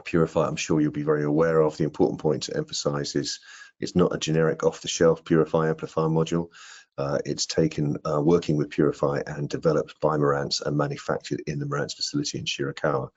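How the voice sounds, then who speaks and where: Very deep, husky older man. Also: completely flat delivery, fast, darkish tone. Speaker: product trainer. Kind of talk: webinar product presentation. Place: indoor room on a video call. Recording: dull, muffled video-call audio.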